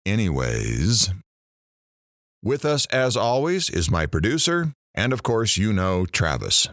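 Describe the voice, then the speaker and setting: A sardonic, low-voiced radio DJ, acted by a man for an audio drama. His pitch swoops wildly through dry, theatrical patter, and the sound is close and dry. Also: huge pitch swings, slow pace, a bit bright, dry recording, full character voice. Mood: dry, sarcastic. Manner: dry, sarcastic, theatrical delivery. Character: sardonic, deadpan male radio morning DJ. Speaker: radio talk show host.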